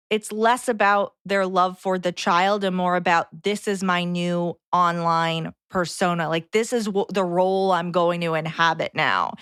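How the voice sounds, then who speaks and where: A woman's voice: mid-range voice, a bit bright, clean tone, dry recording, studio quality. A woman co-hosting a podcast in a casual style, with a blunt and wry way of talking. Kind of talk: casual podcast chat and reading out messages.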